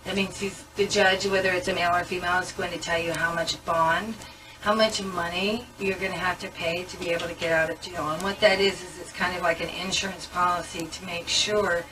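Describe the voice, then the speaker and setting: A woman's voice: fairly low pitch, steady tone, brisk. A woman detective running a booking interview in a matter-of-fact, procedural way. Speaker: police detective. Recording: distant mic with music overlaid.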